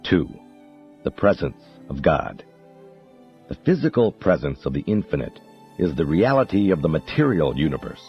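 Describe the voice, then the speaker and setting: Deep-voiced man reading scripture, slightly muffled. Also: sing-song, normal speed. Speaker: professional narrator reading a religious text. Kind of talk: scripted spiritual audiobook narration. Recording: dull muffled audio under music. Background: background music.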